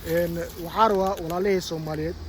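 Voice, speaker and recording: A man from Somalia with a Somali accent, talking off the cuff in a halting, repetitive way as a vlogger. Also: high-pitched, fairly even intonation, slight background noise, poor audio. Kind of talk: unscripted vlog talk.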